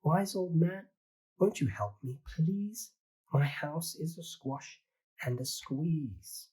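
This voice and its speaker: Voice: mid-range voice, expressive, slow pace, a little mellow, husky, voice pushed up. A reader doing a fretful little old woman. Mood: fretful, pleading. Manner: pleading, exclamatory, sing-song refrain.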